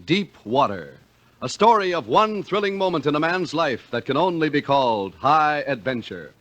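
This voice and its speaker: A man's voice: a little high, big pitch swings, moderate pace, fairly bright tone. A man reading scripted 1950s radio announcements in a formal, polished way. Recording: dull lo-fi vintage radio broadcast.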